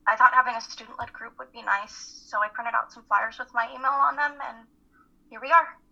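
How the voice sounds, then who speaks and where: A woman's voice: on the high side, brisk, gravelly, pushed and bright, exaggerated voice. A woman playing an earnest, hesitant young college student who leads a support group in an amateur radio play reading. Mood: welcoming, slightly nervous. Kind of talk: live dramatic play reading. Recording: muffled, phone-like call audio.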